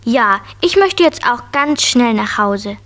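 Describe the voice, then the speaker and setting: Little girl for a children's radio play: high, very lively pitch, eager and kind-hearted. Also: slow pace. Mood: excited.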